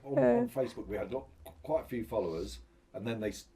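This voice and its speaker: A man's voice: fairly low pitch, wildly swooping pitch, quick pace, distant mic, crowd noise. A middle-aged man, a shop manager, telling rambling anecdotes with many restarts in a casual interview.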